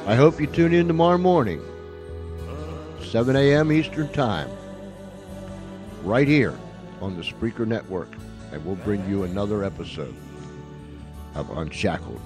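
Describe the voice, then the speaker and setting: Folksy low-voiced man hosting radio over music. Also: animated, measured pace, pauses often. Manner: folksy, repeats phrases for emphasis. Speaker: radio show host. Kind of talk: casual radio sign-off to listeners. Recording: music under the voice.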